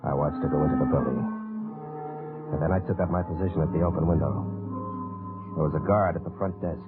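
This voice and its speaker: An actor playing a plain-spoken army captain, recounting a wartime mission in old radio drama style. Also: male voice, rumbling low, fast talker. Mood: tense recollection. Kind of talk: 1940s-50s radio drama narration and dialogue. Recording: narrow old radio recording with music.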